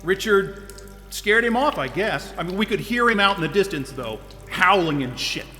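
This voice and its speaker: A blunt, swearing man on edge, with a high, bright and forceful voice. His lines ring with a long reverb, and music plays softly behind. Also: lively intonation, normal speed. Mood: strained. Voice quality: blunt and profane. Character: stressed isolated man, grumpy, blunt, swearing. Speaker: lead character. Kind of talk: audio drama acting.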